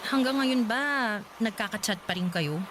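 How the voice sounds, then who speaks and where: A woman's voice: fairly high pitch, normal speed, noisy background, close to an everyday voice. A woman playing an uneasy, suspicious young woman in love in a radio drama, probing hesitantly with a Filipino accent. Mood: troubled, uneasy.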